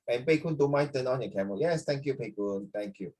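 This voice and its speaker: Husky singaporean guy getting over the flu, chatty teacher on a video call, mid pitch. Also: quick pace, a little mellow. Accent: Singaporean English. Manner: chatty, mixes English and Mandarin, checks in with students by name. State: recovering from flu. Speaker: social studies teacher. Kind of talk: online class teaching.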